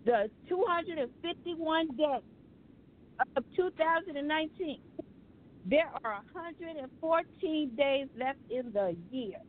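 A woman hosts a community radio talk show in a loose, joking way, keeping the segments moving. Her voice sits a little high and comes through thin and phone-like. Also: expressive, a little slow, slight background noise. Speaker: radio host. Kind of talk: casual radio chat. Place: radio studio. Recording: tinny phone-like radio audio.

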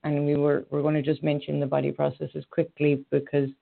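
Irish woman host, hesitant and filler-heavy, gravelly monotone, over a tinny call. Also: low-pitched, a little fast. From Ireland. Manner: hesitant, many fillers and restarts. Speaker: radio show host and horse rider. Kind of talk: casual online radio chat. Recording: phone-line call audio.

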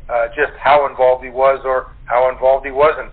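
A man speaking as an actor guest in a casual interview, halting and searching for his words. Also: mid-range voice, level tone. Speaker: radio play actor as guest. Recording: tinny, narrow phone-line sound.

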